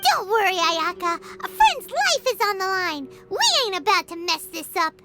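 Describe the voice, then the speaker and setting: A woman voicing a small, childlike fairy companion in a video game, blunt and excitable. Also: moderate pace, music in the background. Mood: determined. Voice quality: squeaky, childlike. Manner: speaks of self in third person, folksy, exclamatory. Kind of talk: animated video game voice acting.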